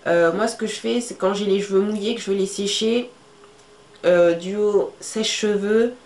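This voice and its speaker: A woman's voice: medium pitch, normal speed, a little mellow. A young woman vlogger, congested from a cold, chatting casually to camera with many fillers as she shows her hair tools. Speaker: beauty vlogger. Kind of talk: casual how-to talk to camera. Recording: echoey room with faint ambient noise.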